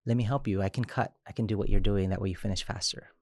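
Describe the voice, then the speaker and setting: A man in his thirties from Mexico, an immigrant rights organizer speaking in an interview, halting with frequent restarts. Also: on the low side, steady tone, darkish tone, no echo. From Chiapas, Mexico. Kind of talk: interview conversation.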